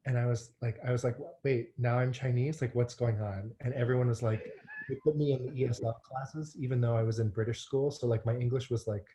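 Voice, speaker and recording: A man in his thirties, from Hong Kong, talks as a podcast guest. His voice is mellow and dark, and his delivery stays flat and even. He tells his story casually, with ums and restarts. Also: medium pitch, a little fast, poor audio. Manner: relaxed, frequent fillers and restarts. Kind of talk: conversational storytelling.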